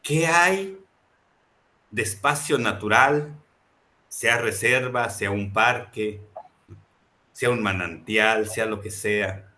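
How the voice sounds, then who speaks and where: A man's voice: on the low side, slow, long pauses, pushed and bright. A man presenting a book in a reflective, impassioned talk, building his points through rhetorical repetition. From Mexico.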